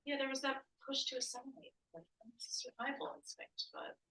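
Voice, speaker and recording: A woman chatting casually during an art workshop, trailing off and throwing in quick asides. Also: high voice, huge pitch swings, pushed and bright. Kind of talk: casual chat while making art. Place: library art workshop room. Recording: echoey room.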